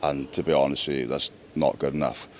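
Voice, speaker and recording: A young man with a deep voice, chatting earnestly to camera in a casual vlog. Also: flat delivery, brisk, slight background noise. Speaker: vlogger. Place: indoors, then outdoors by a pool.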